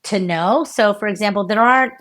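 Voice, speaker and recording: Casting: American woman researcher, middle-aged, lively and chatty, frequent fillers and self-corrections. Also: mid-range voice, fairly quick. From United States. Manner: animated, many fillers and restarts, laughs often. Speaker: neuroscientist guest. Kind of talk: conversational interview explanation.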